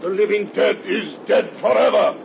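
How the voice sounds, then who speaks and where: An actor playing a stern, relentless vampire-hunting doctor in a grave, theatrical 1930s radio horror play. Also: male voice, high-pitched, normal speed, creaky. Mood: grim, solemn. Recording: dull, noisy 1930s radio recording.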